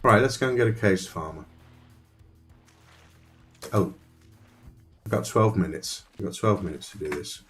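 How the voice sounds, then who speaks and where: A man's voice: low-pitched, animated, measured pace, long pauses, soft background music. A man thinking aloud and chatting with viewers while playing a survival game on a live stream. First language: Dutch. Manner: rambling, thinks aloud, talks to chat.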